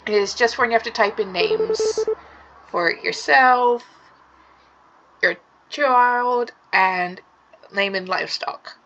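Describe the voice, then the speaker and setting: Woman, casual game commentator, slightly hoarse, chatty explainer, mid-range voice. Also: medium pitch, slow, long pauses, fairly bright tone, dull audio, a little hiss or hum. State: hoarse from a sore throat. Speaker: let's play gamer. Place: room at home.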